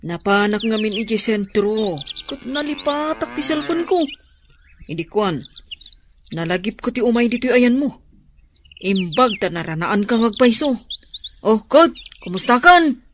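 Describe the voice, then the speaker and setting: An actress with an Ilocano accent playing a frank, caring young friend in a radio drama. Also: female voice, on the high side, expressive, a little slow, pauses often, background noise. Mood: chatty.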